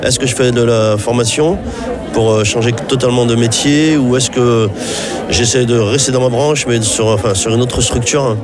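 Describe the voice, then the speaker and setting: A man in his fifties from France speaks in a halting, hesitant way and fills the gaps with many pauses. His voice sits at a middling pitch. Music plays clearly beneath his interview answers. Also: fairly even intonation. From France, Saint-Étienne. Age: 50s. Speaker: job seeker interviewee. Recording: music playing underneath.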